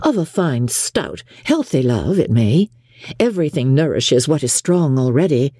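A narrator voicing a lively, witty young woman with a pointed, playful manner in an audiobook. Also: medium pitch, unhurried, breathy edge, no echo, studio quality, exaggerated voice. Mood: playfully arguing. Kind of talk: audiobook character dialogue.

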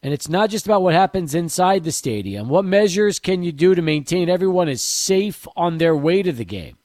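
A man with a slightly high voice hosts a sports talk radio show. He talks in a conversational way as he passes on news and questions from listeners. His voice is close and dry in the studio. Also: expressive, moderate pace, darkish tone, no echo.

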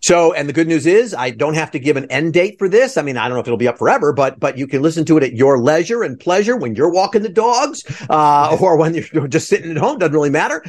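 A man's voice: fairly high pitch, lively intonation, quick pace, hardly any pauses. A man hosting an interview podcast over a video call, talking in an effusive, rambling, digressive way. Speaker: podcast host.

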